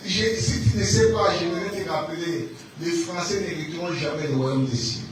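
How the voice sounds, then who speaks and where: High-pitched Congolese preacher in an echoey church. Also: male voice, moderate pace, crowd noise. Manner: repetitive, emphatic, calls on listeners to answer. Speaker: preacher, pastor. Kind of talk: fervent, exhorting sermon. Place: church hall. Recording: very echoey church PA, distant, music behind.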